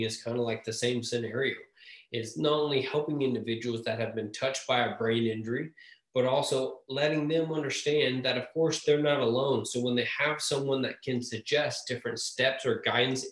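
A man of middle pitch explains his points steadily as a guest, talking over a remote call. His voice carries a noticeable room echo. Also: fairly even intonation, normal speed, fairly bright tone. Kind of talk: casual conversation.

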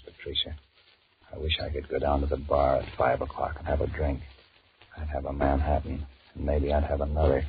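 An actor playing a weary, sullen two-timing American office man in a 1940s radio drama, musing in a deadpan mutter. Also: rumbling low. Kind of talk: 1940s radio drama acting, inner monologue. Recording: muffled, noisy 1940s radio recording.

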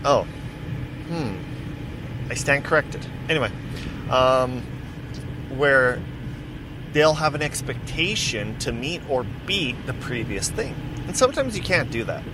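A man's voice: medium pitch, unhurried, pauses often. A man hosting a casual solo podcast, rambling and correcting himself as he chats. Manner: rambling, self-correcting, chatty. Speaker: podcast host. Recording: steady background noise.

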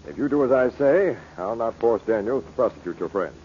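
An actor playing a wealthy, imperious uncle in 1940s radio drama style, smug and formal yet uneasy. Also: male voice, medium pitch, expressive, fairly quick, darkish tone, lightly acted. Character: wealthy, domineering, uneasy uncle under death threats. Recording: muffled, hissy old radio recording.

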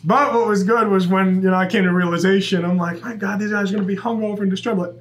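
Animated man in his twenties, fairly high voice. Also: quick pace. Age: 20s. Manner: animated storyteller, acts out quotes. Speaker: police officer guest. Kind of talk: casual podcast chat.

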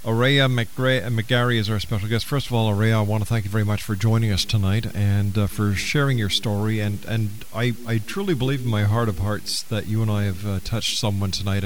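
A man hosting a radio talk show, speaking in a deliberate, earnest way. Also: on the low side, fairly even intonation, slight background noise. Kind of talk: radio interview and show announcements.

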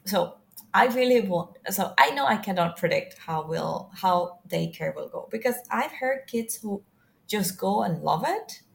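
Gravelly-voiced Venezuelan-born woman co-host. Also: medium pitch, very animated, unhurried. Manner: thoughtful, searching for words, laughs easily. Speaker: podcast co-host. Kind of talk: casual chat.

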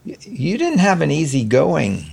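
A man with a gravelly voice hosting a conversational podcast interview. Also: a little high, huge pitch swings, a little slow, a little mellow. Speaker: interview host.

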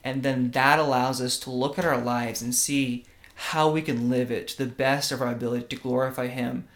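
A man's voice: medium pitch, steady tone, moderate pace, fairly bright tone. A young man from Russia co-hosting a casual religious podcast, earnest and often checking in with "right?". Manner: earnest, tag questions like "right?". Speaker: podcast co-host.

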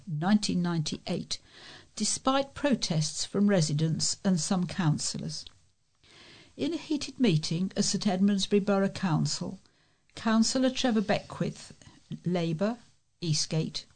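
A woman's voice: mid-range voice, darkish tone. A woman with an English accent, a volunteer newsreader, reading local news items aloud in an informal way.

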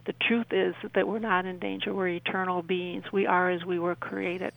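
Creaky-voiced woman on a tinny call-in line. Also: on the low side, level tone, normal speed. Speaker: interview guest, author. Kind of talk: radio interview, explaining beliefs. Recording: tinny, narrow phone-like line.

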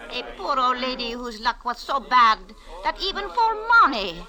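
Shrill, wheedling old Egyptian woman. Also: sing-song, normal speed, sharp tone, heavily acted. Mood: wheedling, humble. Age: older. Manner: obsequious, bargaining, roundabout. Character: poor, wheedling old Egyptian boarding-house woman, sly about money. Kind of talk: 1940s radio acting. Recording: vintage radio drama recording.

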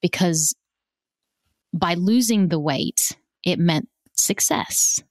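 Aussie woman opening up on a podcast, halting and emotional, lowish voice. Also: no echo, clean studio sound. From born in South Africa, raised in Australia. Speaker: guest. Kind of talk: candid personal conversation.

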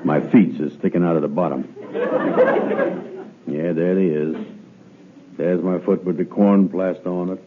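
An actor playing a slow, gullible, weary man in an old radio comedy, with a drawling, ungrammatical manner. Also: sits low, fairly even intonation. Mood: weary, puzzled. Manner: drawling, ungrammatical, muttering to himself. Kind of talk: 1950s radio comedy acting. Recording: narrow phone-like old radio recording, loud noise.

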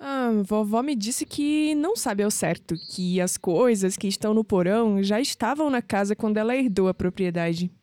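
A woman's voice: medium pitch, expressive, normal speed, few pauses, mellow, no room sound, close to an everyday voice. An actress playing a young, skeptical and searching Brazilian woman in an audio drama. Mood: uneasy, searching.

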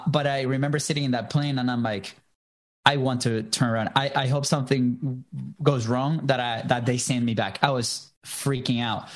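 A man in his thirties from Venezuela with a Venezuelan Spanish accent, a native Spanish speaker, telling his life story earnestly as a podcast guest. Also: mid-range voice. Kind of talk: casual storytelling interview.

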